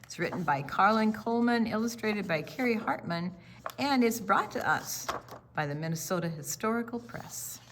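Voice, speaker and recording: A woman reading a picture book aloud to young children in an expressive, question-and-answer storytime style. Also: mid-range voice, normal speed, background noise.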